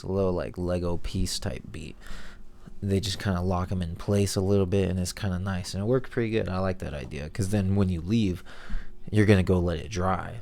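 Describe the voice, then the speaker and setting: A man's voice: low-pitched, creaky, darkish tone. A young man with a stuffed-up, nasal voice, rambling in a laid-back, slangy way as he comments over his own video. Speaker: video host. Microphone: headphones monitoring, voice-over mic.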